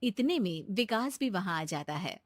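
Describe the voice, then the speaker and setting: A woman's voice: medium pitch, sing-song, normal speed, no echo, studio quality. A woman narrating a scripted moral bedtime story as a storyteller.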